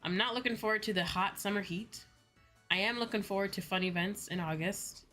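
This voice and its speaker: A woman's voice: mid-range voice, a little fast, pushed and bright. A woman chatting casually to her livestream viewers in a rambling way, peppered with "like" and casual swearing. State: sleepy. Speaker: VTuber livestreamer; school teacher. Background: music behind the voice.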